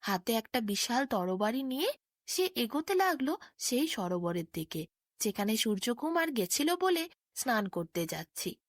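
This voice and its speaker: A woman's voice: a little high, sing-song, moderate pace, no echo, studio quality. A woman narrating a bedtime fairy tale as a storyteller.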